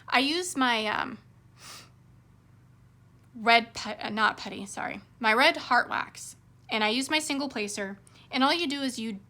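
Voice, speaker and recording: Female craft vlogger, fairly high and crisp-bright, slightly hoarse, informal rambling chat. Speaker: craft vlog host. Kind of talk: casual rambling chat to viewers while crafting.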